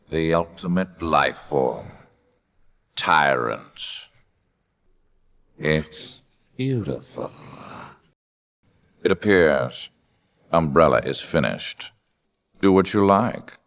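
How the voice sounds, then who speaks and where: A superhuman video game villain with an extremely deep, gravel-laden voice. He is cold and arrogant, a schemer who measures out every phrase with theatrical menace. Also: fairly bright tone, heavily acted. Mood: smug, calculating. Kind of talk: scripted video game villain narration and dialogue.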